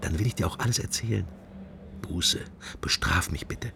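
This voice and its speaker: A man's voice: low voice, moderate pace, creaky, whispered. An actor playing a wry, sceptical middle-aged man murmuring his fragmentary private thoughts in a radio drama. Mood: sceptical musing. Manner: murmured, fragmentary, associative musing. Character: musing middle-aged man, wry, sceptical, worldly, silently observing church. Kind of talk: radio drama interior monologue.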